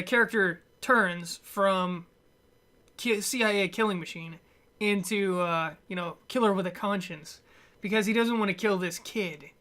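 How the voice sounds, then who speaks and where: A man talking off the cuff in a casual movie review, halting and stumbling over his words, with wry, dismissive asides. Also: high voice, pushed and bright. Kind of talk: casual unscripted movie rant.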